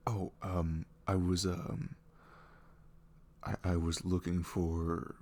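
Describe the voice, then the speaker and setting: A young man playing an awkward, apologetic college-age ex-boyfriend in an intimate ASMR audio roleplay, speaking softly and haltingly, often close to a whisper. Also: deep voice, level tone, heavily acted. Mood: apologetic, hesitant. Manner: hesitant, halting, trailing off.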